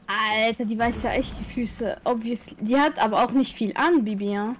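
A woman with a fairly high voice talks casually in a group voice chat. Her manner is teasing and informal. There is faint game noise behind her. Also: normal speed.

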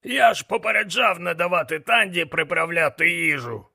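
A man playing a gruff, worldly-wise demon mentor with a rasping voice and a sardonic, lecturing manner. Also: fairly high pitch, moderate pace, bright, forceful tone, full character voice. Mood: dismissive, scoffing. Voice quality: gravelly, rasping.

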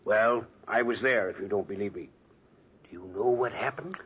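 A man's voice: lowish voice, moderate pace, slightly rough. An actor playing a chatty older male visitor who rambles through superstitious stories in an old radio drama. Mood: rambling. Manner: rambling, chuckling storyteller. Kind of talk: 1940s radio acting. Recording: dull lo-fi old radio recording.

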